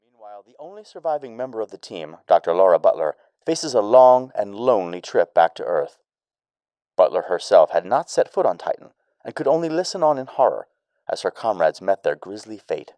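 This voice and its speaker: Male news reporter, formal, low voice, dry sound. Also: very animated, a little fast, mellow, no echo. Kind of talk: broadcast news reading.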